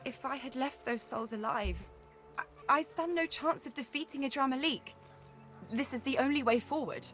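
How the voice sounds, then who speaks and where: An actress playing an earnest, haunted but determined young woman adventurer in a video game, heartfelt and halting. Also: high voice, a bit bright, soft background music. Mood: grave, determined. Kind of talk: video game character acting.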